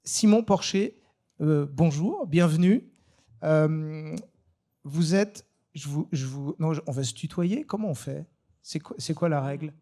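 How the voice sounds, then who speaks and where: A man hosting an informal live panel, speaking in a hesitant, halting way. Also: on the high side, warm tone. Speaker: panel moderator.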